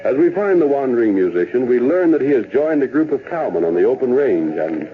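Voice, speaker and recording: An American actor as the stirring, solemn narrator of an old radio western, speaking in a stately, declamatory way. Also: male voice, mid-range voice, sing-song, brisk, natural voice. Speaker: announcer and narrator. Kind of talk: dramatic scripted narration, 1930s-40s radio. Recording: tinny old radio recording with music.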